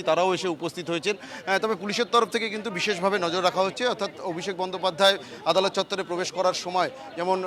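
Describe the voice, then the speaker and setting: A man with a West Bengal accent giving an unscripted live field report outdoors, in emphatic, repetitive phrases. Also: high-pitched. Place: outdoors on a city street outside a court.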